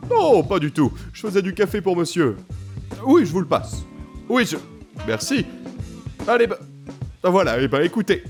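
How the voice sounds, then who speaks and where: A man's voice: sits high, sing-song, moderate pace, pauses often, faint music behind. A man playing a haughty, cheeky manservant, fussy and mock-deferential, in a comic French audio drama. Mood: chatty. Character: haughty, cheeky, put-upon male manservant.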